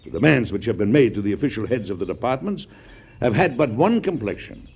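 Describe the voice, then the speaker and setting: An actor playing a principled, resolute American president in an old-time radio history drama, speaking formally and emphatically with an American accent. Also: male voice, medium pitch, sing-song, fairly quick, breathy edge, heavily acted. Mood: resolute, stately. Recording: dull, lo-fi classic radio recording.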